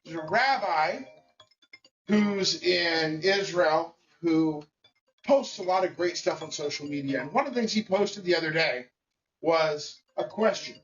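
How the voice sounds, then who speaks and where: A man's voice: fairly high pitch, fairly bright tone, soft background music. Blunt, emphatic man, distant and dull. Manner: emphatic, blunt and colloquial, with pauses between short phrases. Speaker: vlogger. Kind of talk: personal opinion monologue to camera.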